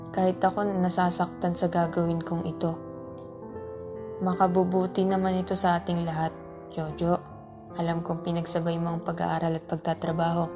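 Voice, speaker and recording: A woman's voice: lowish voice, lightly acted. A woman playing a stern, emotional mother of three with a Filipino accent in an amateur radio drama. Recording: background soundtrack under the voice.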